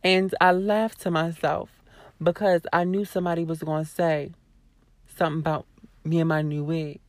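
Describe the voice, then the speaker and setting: A woman YouTuber ranting casually to her viewers, sassy and blunt, with a hoarse voice and a lot of cursing. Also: low-pitched, flat delivery, normal speed, no room sound. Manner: sassy, blunt, swears freely, talks straight to viewers. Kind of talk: casual vlog rant to camera.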